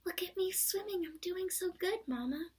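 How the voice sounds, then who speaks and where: Woman doing a squeaky baby kangaroo boy. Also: animated, moderate pace, a little mellow. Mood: excited proud. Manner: squeaky, eager, boastful. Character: excitable tiny young male kangaroo.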